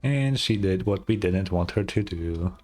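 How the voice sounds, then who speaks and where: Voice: low voice, expressive, unhurried, darkish tone. A game streamer giving casual live commentary on a story scene, with informal, wry asides. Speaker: streamer reacting to a video game. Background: game music.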